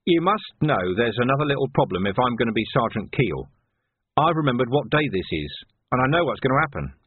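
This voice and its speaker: Man acting a husky, defiant policeman. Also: medium pitch, very animated, a little fast, no echo, phone-quality sound. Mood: wary. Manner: blunt, assertive. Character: gruff, defiant, shrewd policeman taking command. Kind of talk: audiobook character acting.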